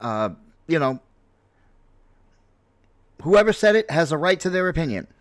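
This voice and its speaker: An American man in his late forties talks through his personal views as a streamer would. His delivery is slow and halting, with reflective repetition for emphasis. The sound is dry and close, with very little room in it. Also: fairly high pitch, very animated, no echo. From New York City, United States. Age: late 40s. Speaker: streamer, content creator. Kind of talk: unscripted personal opinion monologue.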